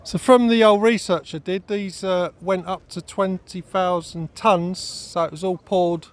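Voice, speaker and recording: A man's voice: high voice, steady tone. A man, chatting casually and interviewing people outdoors at an event. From England. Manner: chatty, matey, asks quick questions. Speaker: vlogger and interviewer. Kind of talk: casual chat and on-the-spot interviews. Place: outdoors at a busy seaside event.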